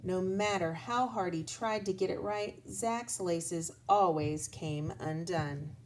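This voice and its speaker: A woman reading a children's storybook aloud as a lesson, expressively and deliberately, pausing to address young listeners. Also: lowish voice, background noise.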